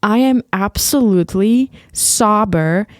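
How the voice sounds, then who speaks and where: A young woman from Ukraine, speaking English as a second language, talks alone into the mic for her podcast. She is earnest and hesitant, restarting her sentences often. Her voice is smooth and clear, in a dry, close recording. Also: medium pitch, takes it slowly, dry recording. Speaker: solo podcast host. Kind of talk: casual solo podcast monologue.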